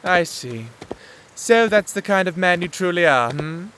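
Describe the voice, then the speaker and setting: A man's voice: high voice, expressive, a little slow, a bit bright, a little hiss or hum, poor audio. A man acting as a smug, flirtatious criminal prince in an audio drama, with a teasing, theatrical drawl. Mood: haughty.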